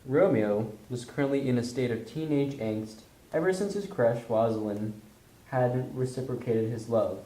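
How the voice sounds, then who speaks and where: A man's voice: mid-range voice, normal speed, mellow. A man narrating a comic storybook script with casual slang, recorded in an echoey room.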